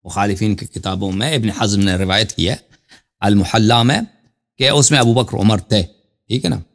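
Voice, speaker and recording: A man's voice: on the low side, moderate pace, creaky. Courteous male religious call-in host. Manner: courteous, repeats words for emphasis. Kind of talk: informal religious question-and-answer with callers.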